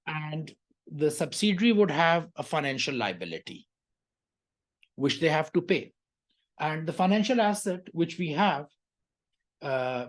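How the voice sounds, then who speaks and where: An accounting tutor giving an explanatory lecture through a worked exam question, in a didactic way that often checks the listener follows. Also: mid-range voice.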